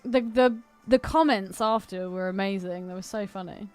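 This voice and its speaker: A woman's voice: mid-range voice, normal speed, darkish tone, clean tone, soft background music. A British woman co-hosting a podcast in casual film banter, cheeky, blunt and sweary. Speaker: podcast co-host. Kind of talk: casual banter about films.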